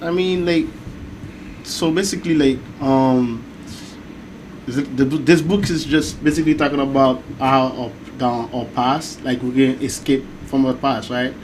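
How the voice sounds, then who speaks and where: A man's voice: mid-range voice, steady tone, measured pace. Rambling man over a noisy room. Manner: rambling, full of "like" and "basically". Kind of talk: casual chat.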